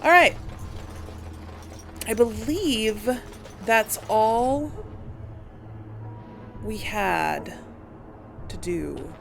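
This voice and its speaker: A woman's voice: on the high side, lively intonation, drawn-out words, vocal fry, long pauses. A woman hosting a gaming video, giving casual playthrough commentary and musing aloud hesitantly.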